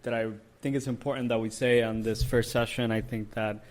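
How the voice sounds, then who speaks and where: A young man, born and raised in Puerto Rico with Spanish as his first language, co-hosts a podcast as a therapist. His voice sits at a middling pitch for a man, and he speaks casually, pausing on "um" and "uh" and often restarting his words. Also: flat delivery, darkish tone. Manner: hesitant, many "um" and "uh", repeats words. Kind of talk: casual chat.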